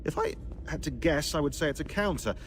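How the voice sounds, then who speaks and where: An actor playing a dry, witty, bookish British researcher in a video game, speaking articulately and wryly. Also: male voice, a little high, animated, fairly quick, soft background music, natural voice. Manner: wry, articulate. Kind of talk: naturalistic video game acting.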